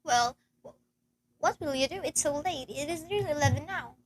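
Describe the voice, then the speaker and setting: A woman's voice: high voice, normal speed, a crowd in the background, close to an everyday voice. A woman playing a nervous, demanding invalid wife in an amateur radio play, stammering and insistent. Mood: uneasy, pleading.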